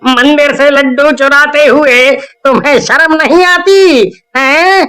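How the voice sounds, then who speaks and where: A man playing an angry, punitive village temple priest in a cartoon story, scolding with drawn-out menace. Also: very high-pitched, pushed and bright, heavily acted. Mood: outraged. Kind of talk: Hindi cartoon story voice acting.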